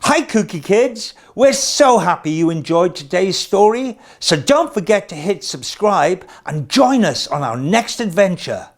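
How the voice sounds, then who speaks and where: A storyteller reading a children's picture book aloud in an expressive, deliberate way as host. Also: medium pitch.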